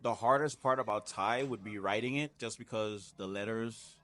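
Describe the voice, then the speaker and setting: Low-voiced easygoing male guest. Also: moderate pace, a bit bright. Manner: easygoing, emphatic, frequent "you know". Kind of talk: casual conversation.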